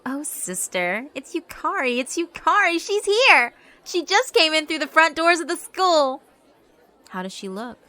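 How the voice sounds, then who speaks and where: A woman's voice: sits high, sing-song, unhurried, bright, forceful tone, some chatter behind, exaggerated voice. A woman voicing a scheming yokai sister who taunts and gloats in a theatrical, cackling manner. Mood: excited. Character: gleeful scheming yokai sister, cruel and mocking.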